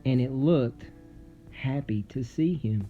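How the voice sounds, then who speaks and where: A man reading a children's story aloud dramatically, with suspenseful, theatrical delivery and deliberate pauses. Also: medium pitch, phone-quality sound. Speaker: storybook narrator. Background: music.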